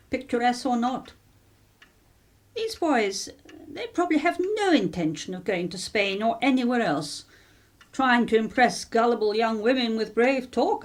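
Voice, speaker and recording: Radio play: actress as genteel 1930s English mother, disapproving yet loving, very lively pitch. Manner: genteel, chiding.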